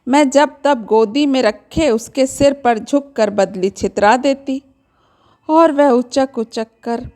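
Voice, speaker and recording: A woman with a fairly high voice narrates literary fiction in the first person. She takes her time, reading very slowly and expressively. Her delivery is conversational, as if addressing the listeners directly. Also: dark tone. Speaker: storyteller reading a short story aloud.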